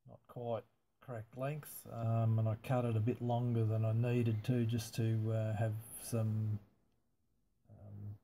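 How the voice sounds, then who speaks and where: Flat-toned, mellow man giving a hands-on demo. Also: medium pitch, a little hiss or hum. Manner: halting, unscripted, matter-of-fact. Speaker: amateur radio hobbyist demonstrating antenna tuning. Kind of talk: casual hands-on demonstration, narrated live.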